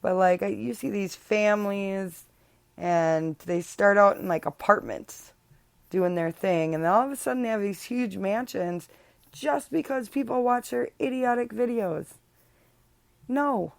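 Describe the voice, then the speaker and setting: A woman's voice: mid-range voice, measured pace, creaky, dry recording. A young adult woman, hosting a casual solo podcast, blunt and chatty with many ums and sarcastic asides. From Illinois. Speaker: podcast host.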